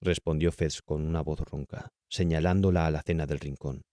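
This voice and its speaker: A man's voice: rumbling low, fairly even intonation, moderate pace, dark tone, dry recording, clean studio sound. A man with a Castilian Spanish accent reading a horror audiobook as a dramatic narrator.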